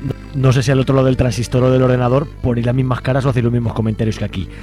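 A man's voice: medium pitch, little pitch movement, rapid-fire, warm tone, faint music behind. A man with a Spain Spanish accent hosting a casual radio show in an informal, wry way, often checking in with tag questions. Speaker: radio host.

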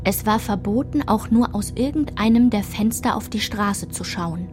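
A woman's voice: medium pitch, moderate pace, close to an everyday voice. A woman reading scripted literary narration as the narrator of a radio play. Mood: measured. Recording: music behind the voice.

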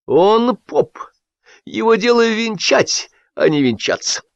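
A man voicing a jealous young man who speaks in a husky, accusing voice. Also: high voice, sing-song, takes it slowly, no room sound, voice pushed up, full character voice. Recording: dull dry lo-fi recording.

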